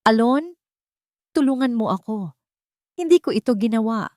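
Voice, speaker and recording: A woman's voice: on the high side, lively intonation, moderate pace, darkish tone, dry recording, voice pushed up, lightly acted. Lady playing a young wife accused unfairly, hurt but defiant, audio drama, very dry sound. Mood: desperate pleading.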